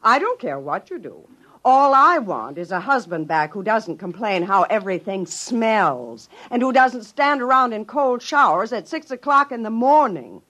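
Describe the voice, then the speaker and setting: An actress playing a scientist's fed-up, sardonic wife in a 1950s radio drama, wry and scolding. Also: female voice, on the high side, sing-song, moderate pace, fairly bright tone, poor audio.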